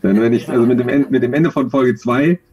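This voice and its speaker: A man's voice: mid-range voice, a little fast, darkish tone, faint music behind. A man in his forties, an audio drama author, answering interview questions on a video call in a thoughtful, rambling, self-deprecating way.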